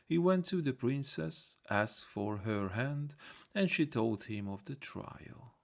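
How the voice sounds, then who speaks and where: A man reading a scripted folktale as an audiobook storyteller, in a measured, simple storybook delivery. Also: mid-range voice, expressive, gravelly.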